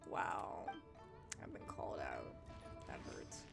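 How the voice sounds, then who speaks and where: A woman streamer giving casual, expressive let's play commentary as she reacts to a game. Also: rumbling low, takes it slowly, creaky. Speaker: gaming streamer. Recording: loud music behind the voice.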